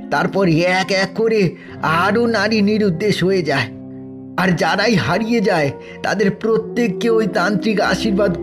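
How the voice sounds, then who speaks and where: An actor playing an old, grieving, suspicious village man in an audio horror drama, halting and insistent, repeating phrases for emphasis. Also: sits high. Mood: anguished. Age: older.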